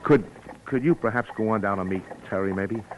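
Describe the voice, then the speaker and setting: A man's voice: on the low side, brisk, close to an everyday voice. An actor playing a nervous, shy man in a classic radio drama, hesitant and stammering. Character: nervous, shy, halting lovelorn male friend. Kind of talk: 1940s-50s radio drama acting. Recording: noisy old radio recording.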